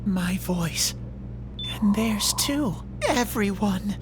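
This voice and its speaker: A woman voicing a childlike, despondent male mascot creature in a video game, plaintive, halting and earnest. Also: mid-range voice, animated, background noise. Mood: earnest, dawning hope.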